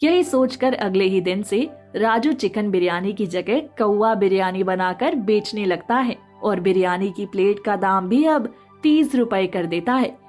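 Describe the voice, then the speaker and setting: A woman's voice: mid-range voice, unhurried, darkish tone. A woman reading scripted narration for a moral story as the storyteller. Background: background music.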